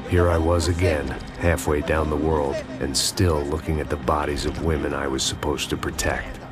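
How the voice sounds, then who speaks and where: Bitter middle-aged American ex-cop, extremely low voice, brooding, sardonic, noir game narration, loud music behind. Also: male voice, little pitch movement, normal speed. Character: world-weary, bitter middle-aged male ex-cop antihero.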